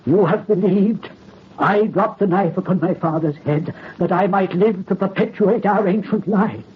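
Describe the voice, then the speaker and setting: An actor playing a dying old French count, vengeful and commanding, in formal theatrical old-time radio horror style. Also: male voice, high-pitched, normal speed, husky. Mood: grave, solemn. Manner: theatrical, archaic formal diction. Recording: muffled, noisy old radio recording.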